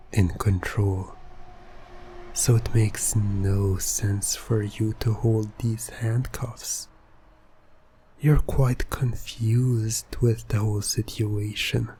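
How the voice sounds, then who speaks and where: A man performing an intimate ASMR hypnosis roleplay as a controlling, seductive hypnotist, speaking in a hushed, commanding manner. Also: lowish voice, steady tone, husky. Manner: slow, commanding, hushed, often slipping toward whispering. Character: controlling, seductive male hypnotist toying with a captive.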